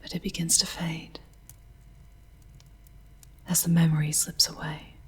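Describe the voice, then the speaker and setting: A woman plays a charming demon woman guiding a mortal in an ASMR fantasy drama. Her voice is low and heavily breathy, often sinking toward a whisper. She speaks slowly, in tender, wistful, poetic phrases with formal diction. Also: level tone, a bit bright, full character voice. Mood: dreamy, tender. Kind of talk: ASMR roleplay, soft theatrical narration.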